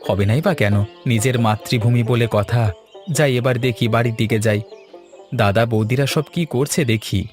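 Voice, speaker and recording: A man's voice: medium pitch, normal speed, darkish tone, close to an everyday voice. A man playing an affectionate, sentimental young man coming home to his village in a cartoon, over music. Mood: nostalgic. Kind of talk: cartoon monologue and dialogue.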